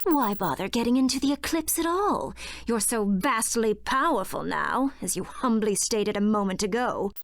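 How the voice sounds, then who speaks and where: An actress playing a proud, volatile dark witch in a video game, with a haughty, guarded and dramatic delivery. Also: fairly high pitch, animated, normal speed, no room sound, clean studio sound. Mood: resentful.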